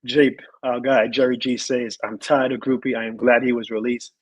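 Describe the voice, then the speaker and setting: A hoarse man who has lost his voice, hosting a live sports show and ranting with emphatic repeated lines. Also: mid-range voice, little pitch movement, moderate pace, no echo. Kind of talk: live sports rant and chat reading.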